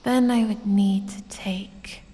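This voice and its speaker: A woman voicing a sultry, predatory lamia who teases and coaxes with quiet menace in a breathy, whispery ASMR roleplay, drawing out her words seductively. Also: steady tone, close to an everyday voice. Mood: softly menacing. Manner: slow, drawn-out, seductive teasing with low chuckles. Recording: long cavernous reverb.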